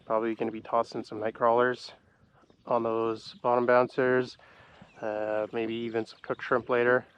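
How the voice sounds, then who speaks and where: A man's voice: medium pitch, normal speed. A man, congested from a cold, chatting casually while fishing from a boat on a lake. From Canada. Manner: relaxed, chatty, talks to himself and the fish. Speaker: fishing vlogger. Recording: windy outdoor recording with clear noise.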